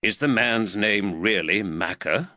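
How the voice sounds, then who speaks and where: A man reading an audiobook voices a calm, dry-witted male detective in a plain, measured voice close to his own. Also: on the low side, dry recording.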